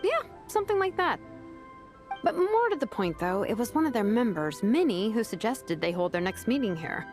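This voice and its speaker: A woman voicing a laid-back, wry young female vice captain who talks in a relaxed, teasing way. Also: on the high side, normal speed. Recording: game cutscene with background music.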